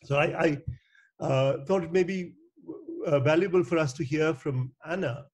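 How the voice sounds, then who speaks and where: Halting, courteous male panel moderator. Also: a little high. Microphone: video call.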